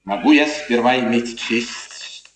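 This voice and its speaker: An actor playing an evasive, scheming court chamberlain in a 1950s radio play, formal and courtly in manner. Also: male voice, medium pitch, slow pace, a bit bright. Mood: smooth courtesy. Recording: noisy, echoey 1950s radio recording.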